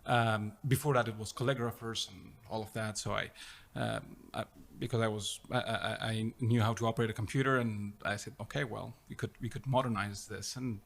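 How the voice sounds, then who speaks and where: Middle-aged man from Afghanistan, low voice, interview guest, halting delivery with lots of ums. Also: fairly even intonation, gravelly, fairly bright tone. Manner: hesitant, many fillers and restarts. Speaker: startup co-founder and CEO, guest. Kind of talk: conversational interview.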